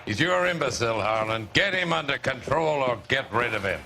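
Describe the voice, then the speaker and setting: An actor playing a crude, irritable crooked businessman with a gruff, blunt manner in a video game cutscene. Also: mid-range voice, lively intonation, brisk, pushed and bright, a little hiss or hum. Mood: furious, blustering.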